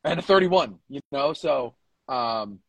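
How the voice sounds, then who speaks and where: A man co-hosting a casual sports podcast, talking in a halting way, circling back over phrases and swearing casually. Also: medium pitch, lively intonation, vocal fry, no echo, dull audio. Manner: halting, repeats phrases as he works out a point. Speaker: podcast co-host; competitive powerlifter.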